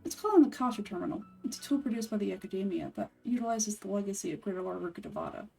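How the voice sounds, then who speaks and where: A composed young scholar-ranger from a video game, a man voiced by a woman, with a mellow tone and a dry, sardonic edge. He explains things in measured, matter-of-fact phrases, a little far from the mic over background music. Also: mid-range voice, animated, gravelly, breathy edge, exaggerated voice. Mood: calm, explanatory.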